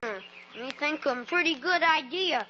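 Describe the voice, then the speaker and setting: A woman's voice: sits high, expressive, a little slow, bright, forceful tone, exaggerated voice. A woman playing an eager, loyal boy sidekick who talks in clipped broken English in an old radio western. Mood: approving. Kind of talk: 1940s radio western acting. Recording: muffled, noisy old radio recording.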